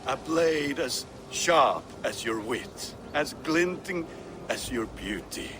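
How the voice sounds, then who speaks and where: Sentimental Viking blacksmith, earnest, tender, very lively intonation, video game drama, noisy ambient backdrop. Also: male voice, medium pitch, slow pace. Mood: tender, moved. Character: warm-hearted Viking blacksmith groom, devoted and sentimental. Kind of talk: dramatic game dialogue acting.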